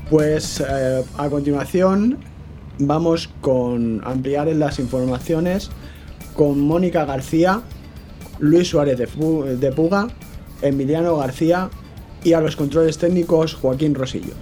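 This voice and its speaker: A man with a Spanish accent from Spain hosting a casual live radio discussion, halting and repeating words as he searches. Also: on the high side, warm tone, faint music behind. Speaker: radio show host.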